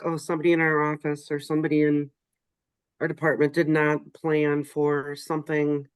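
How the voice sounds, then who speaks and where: A woman's voice: low-pitched, no room sound. A middle-aged woman from a state agency, talking through her frustrations on a video call in a rambling way, full of "you know". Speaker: state agency staff member. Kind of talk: casual video call discussion.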